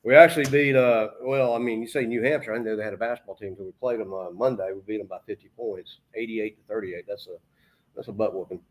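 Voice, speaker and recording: A man's voice: lowish voice, fairly even intonation, fast talker, rough recording. A man chatting casually and informally as host of a sports talk show, rambling as he goes. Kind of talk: casual chat.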